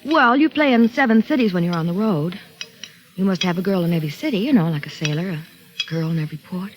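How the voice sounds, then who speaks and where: Actress as coy young woman, lively swooping voice. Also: fairly low pitch, quick pace, background noise, natural voice. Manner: playful, coy. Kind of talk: 1940s radio drama acting.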